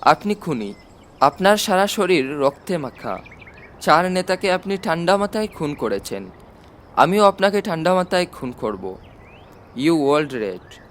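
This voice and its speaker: A man's voice: a little high, very animated, a little slow, pauses often, fairly bright tone. A man reading as a menacing army colonel, faint noise. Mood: coldly threatening. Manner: accusing, formal, demanding. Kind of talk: audiobook character dialogue.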